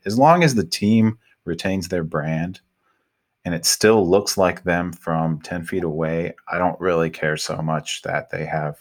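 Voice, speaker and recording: A man hosting a podcast, talking in a relaxed, conversational way and steering the topics. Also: low voice. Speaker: podcast host. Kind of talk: casual chat.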